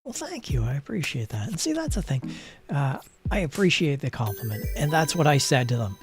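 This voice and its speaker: A man streaming a card game, chatting casually in a soft, rambling, self-deprecating way with asides to the game. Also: on the high side, big pitch swings, fairly quick, music in the background. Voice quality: soft, not gravelly at the moment. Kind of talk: casual live-stream chat while playing.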